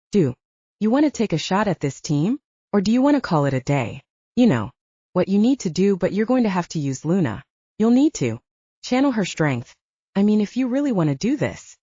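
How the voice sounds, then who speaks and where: A cocky male soldier, played by a woman narrator, playful and pragmatic in an audiobook drama. He banters and coaxes casually with very animated pitch and a warm, dark tone. The recording is slightly dull. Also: slightly rough, no room sound.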